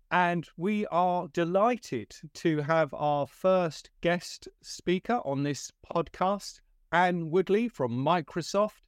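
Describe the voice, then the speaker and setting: A man's voice: fairly high pitch, smooth, dry recording, clean studio sound. Friendly rambling male podcast host. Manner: friendly and rambling, with stammered restarts and chuckles. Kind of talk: podcast interview.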